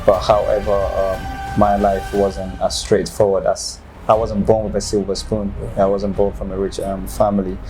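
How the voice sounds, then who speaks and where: A young man with a Nigerian accent, telling his personal story as an interview guest in an earnest, halting way. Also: deep voice, level tone, dark tone, soft background music, low-quality recording. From northern Nigeria. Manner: earnest, halting, with many ums. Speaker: guest, football player.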